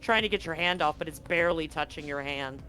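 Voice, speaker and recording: Female game master, conversational narrator, slightly low pitch, faint music underneath. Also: brisk, pushed and bright, rough recording. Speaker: game master of a livestreamed tabletop game. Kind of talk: casual game narration to players and viewers.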